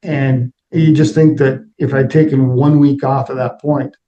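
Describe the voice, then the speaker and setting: Middle-aged man, fairly even pitch. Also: measured pace, dull audio. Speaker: interview guest, aviation executive. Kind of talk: conversational interview answers.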